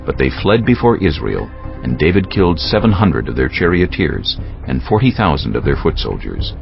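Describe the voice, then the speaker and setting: Male Bible narrator, extremely low and gravelly, expressive delivery of scripted scripture, faint music beneath. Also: moderate pace. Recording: slightly dull audio.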